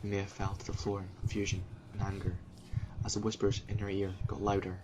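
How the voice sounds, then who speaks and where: A man's voice: fairly low pitch, completely flat delivery, a little fast, noisy background. A man giving a dramatic scripted narration of an audio story.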